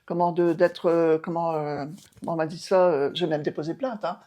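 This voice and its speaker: A woman's voice: low voice, steady tone, moderate pace, dark tone. A woman in her seventies, an outgoing mayor, answering interview questions emphatically with many hesitations and restarts. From France. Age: 70s. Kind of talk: local TV interview.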